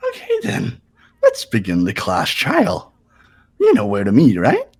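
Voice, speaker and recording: A man voicing a mischievous, childish robot bear for a game, raspy, theatrical and teasing in a sing-song way. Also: on the low side, huge pitch swings, measured pace, a little mellow, full character voice. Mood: gleeful teasing. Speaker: amateur voice actor. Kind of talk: fan voice-over of a visual novel game.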